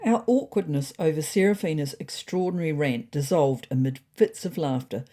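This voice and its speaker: A woman's voice: deep voice, lively intonation, moderate pace, mellow. A woman narrating a scripted first-person story in a wry, understated manner.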